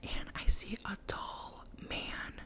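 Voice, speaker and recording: A young woman whispering a rambling personal story, full of "like" and self-corrections, in the style of an ASMR storytime. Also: takes it slowly. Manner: soft whisper throughout. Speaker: vlogger.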